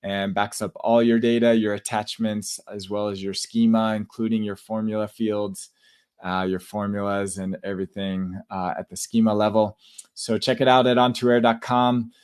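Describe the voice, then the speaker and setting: A man hosting a tech podcast, giving upbeat shout-outs in a relaxed, casual way with fillers. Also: lowish voice, moderate pace, clean tone. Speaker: podcast host.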